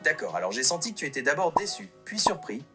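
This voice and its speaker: A synthetic voice assistant explains things politely. Its tone is bright and lively. Faint music plays behind it. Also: medium pitch, fast.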